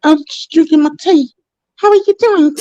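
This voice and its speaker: A cheery, tea-sipping British caricature, put on by a woman in a very high, chirpy voice. The put-on accent is broad and exaggerated, and every line leans on the same jolly greeting. The sound is close and dry. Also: moderate pace, darkish tone, dry recording, muffled recording, natural voice. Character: cheery tea-sipping Brit saying "mate".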